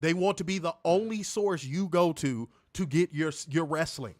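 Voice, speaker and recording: A man's voice: fairly high pitch, moderate pace. A man co-hosting a wrestling review show, ranting bluntly and profanely while stuffed up with a cold. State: congested, stuffed up. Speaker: podcast co-host.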